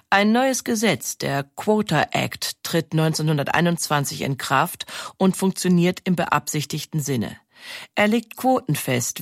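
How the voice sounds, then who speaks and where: A narrator reading a scripted history documentary in a measured, explanatory way. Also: medium pitch, no room sound, clean studio sound.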